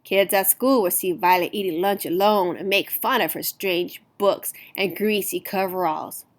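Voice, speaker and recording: A woman reading a picture book aloud to children in an expressive, deliberate storytelling manner. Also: mid-range voice. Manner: deliberate, animated storytelling with emphasized words.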